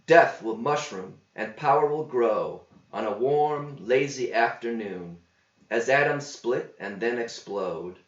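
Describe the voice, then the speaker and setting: A man's voice: medium pitch, takes it slowly, natural voice. Man playing a cheeky young drifter, echoey room. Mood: declamatory. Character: cheeky young drifter, smooth-talking and indignant. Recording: roomy, slightly dull home recording.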